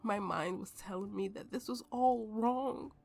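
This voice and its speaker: Haunted, tipsy swordswoman played by an actress, ASMR roleplay storyteller; slow, creaky delivery, bitter and grieving, dramatic pauses. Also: mid-range voice, a little mellow, faint music behind. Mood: numb, intense. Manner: halting, slow, dramatic pauses, dropping toward whispers.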